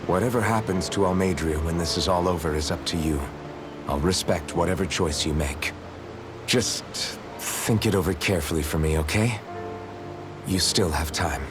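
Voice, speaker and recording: An actor playing a gentle, idealistic young swordsman in a video game, speaking with measured, sincere reassurance. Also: deep voice, over music, close to an everyday voice. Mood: gentle, reassuring. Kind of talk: video game cutscene dubbing.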